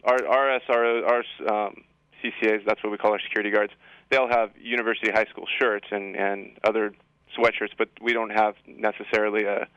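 A man's voice: lowish voice, little pitch movement, fairly quick, a bit bright, clear, resonant tone, dry recording, highs cut off. A man speaking as a school administrator guest in an interview, explaining things in an assured way. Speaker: high school assistant principal guest. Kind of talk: interview answers.

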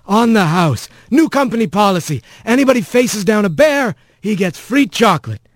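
Brief: man playing a chirpy male mouse confectioner, lifted pitch, homespun and generous. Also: very animated, normal speed, dry recording, clean studio sound. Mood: friendly. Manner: friendly and folksy. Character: cheerful male mouse candy maker.

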